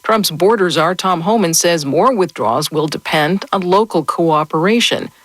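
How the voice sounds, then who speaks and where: Measured American woman news anchor, low-pitched and dry. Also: animated, dry recording. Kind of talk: scripted radio newscast.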